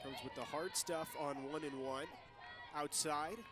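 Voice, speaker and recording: Young man calling softball, higher-pitched voice. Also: steady tone, a little slow, over a noisy crowd. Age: young adult. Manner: clipped, present-tense calls. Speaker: play-by-play commentator. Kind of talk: live softball play-by-play commentary.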